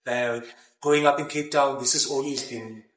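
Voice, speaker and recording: South African rapper, halting and echoey. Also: male voice, mid-range voice, fairly even intonation, fairly bright tone. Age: 30s. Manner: halting, many restarts, mixing in Xhosa phrases. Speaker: rapper guest. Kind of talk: casual interview. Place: outdoors by a brick building porch.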